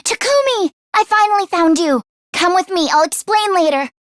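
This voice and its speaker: Anime game schoolgirl, earnest squeamish tinkerer type, really high and animated, close dry sound. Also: no room sound. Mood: relieved, gentle. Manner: expressive, earnest, quick to exclaim.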